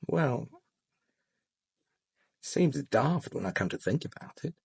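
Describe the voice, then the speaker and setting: A man's voice: mid-range voice, sing-song, slow pace, creaky, a little mellow, husky, heavily acted. An actor playing an earnest, puzzled doorman in a radio drama, respectful and a little hesitant.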